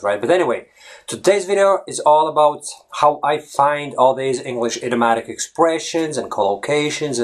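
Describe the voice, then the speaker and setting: A man talks casually to camera about learning English, speaking as a coach to his viewers. He drifts off topic, circles back, and keeps checking in with a quick "right?" or "okay?". He sounds like he is recording in his home office. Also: medium pitch. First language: Latvian. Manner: rambling, veers off topic, tags lines with "right?".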